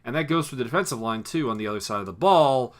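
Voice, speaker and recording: Hoarse man reviewing football. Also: mid-range voice, expressive, quick pace. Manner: analytical, rattles off stats with frequent self-corrections. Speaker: sports review host. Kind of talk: solo football game review.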